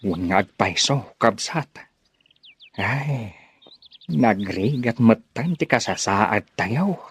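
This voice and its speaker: A man's voice: fairly low pitch, expressive, slow, a bit bright, husky, dry recording, heavily acted. A man acting in a radio drama as a weary, resigned older village farmer who sighs as he talks. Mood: resigned, worried.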